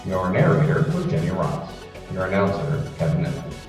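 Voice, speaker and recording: A man's voice: low-pitched, normal speed. A man reading scripted credits and promotion as an old-time radio announcer. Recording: reverberant hall, distant, loud music behind. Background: music.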